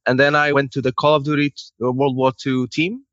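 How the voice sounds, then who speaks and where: A man's voice: mid-range voice, little pitch movement, brisk, fairly bright tone, no room sound. Slightly muffled Portuguese-accented man being interviewed. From Lisbon, Portugal. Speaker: guest, video game character artist. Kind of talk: conversational interview.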